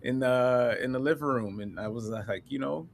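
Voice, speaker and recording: A man who is a guest on a panel, telling a personal story in an animated way and acting out the lines of the people in it. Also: medium pitch, normal speed, creaky, a little hiss or hum. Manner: animated, laughing, quotes others in a lively way. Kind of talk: casual storytelling.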